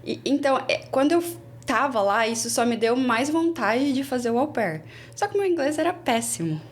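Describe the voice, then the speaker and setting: A Brazilian woman in her twenties, a former au pair, telling her story in a casual interview with lively restarts. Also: high-pitched, normal speed, a little hiss or hum. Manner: chatty, animated, many restarts.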